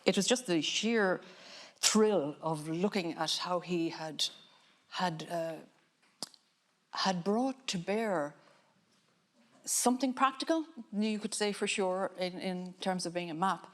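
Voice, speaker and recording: An Irish woman, a filmmaker on a panel, giving a reflective talk in a musing, halting way with frequent restarts. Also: fairly low pitch. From Ireland.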